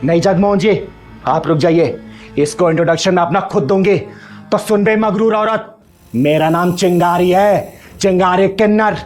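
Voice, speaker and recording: A man's voice: sits high, normal speed, a little mellow, faint music behind, close to an everyday voice. A man playing a bold, righteous kinnar elder sister in a Hindi short film, speaking emphatically. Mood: righteous scolding.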